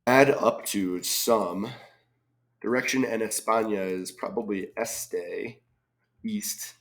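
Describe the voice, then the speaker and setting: Slow-talking hoarse man musing over clues. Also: lowish voice. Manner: slow and musing, reads clues aloud and mutters guesses. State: slightly hoarse from a cold. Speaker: crossword solving video host. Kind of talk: casual live solve, thinking aloud.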